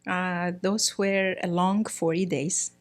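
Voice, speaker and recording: A middle-aged woman from Egypt talks in a relaxed interview. Her voice sits fairly low, and she keeps stopping to search for words with lots of "uh" and "you know." She speaks plainly, in an unhurried, conversational way. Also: faint music behind. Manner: hesitant, many fillers and restarts. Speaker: guest, priest's wife.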